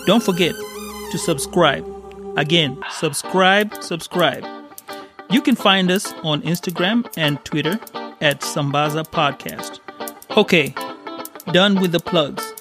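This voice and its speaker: A man's voice: on the high side, slow pace, music in the background. A man from Kenya hosting a podcast, chatty and upbeat, with frequent fillers. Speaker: podcast host. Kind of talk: podcast interview.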